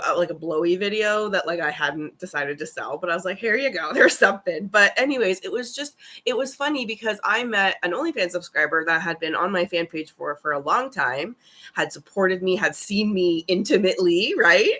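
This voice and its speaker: Chatty, bright-voiced woman streamer. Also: mid-range voice. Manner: chatty, swears freely, laughs often. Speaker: live-stream host. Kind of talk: casual live-stream chat.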